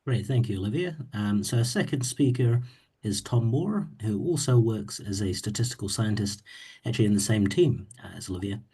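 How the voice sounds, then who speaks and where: A man's voice: fairly low pitch, animated, normal speed, warm tone, slightly rough. A man, hosting a webinar in an easygoing way with many ums. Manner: easygoing, with frequent ums and uhs. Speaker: webinar host, moderator. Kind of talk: moderating a webinar.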